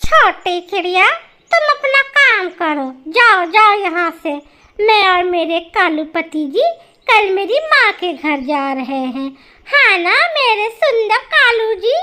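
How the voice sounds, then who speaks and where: A spoiled female cartoon crow acted by a woman talks in a very high, swooping voice. She is bossy and coy, with a whiny streak. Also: sing-song, slow, sharp tone, full character voice. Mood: dismissive. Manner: bossy, coquettish, whining. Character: lazy, haughty, spoiled female crow wife.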